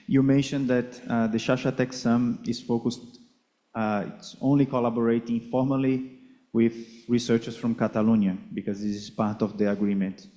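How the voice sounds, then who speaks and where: A man leads the questions after a symposium talk, with a mellow, relaxed tone at a mid pitch. He is polite and measured, and he builds his questions carefully. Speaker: project coordinator and Q&A moderator. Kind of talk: moderating conference Q&A. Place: conference hall.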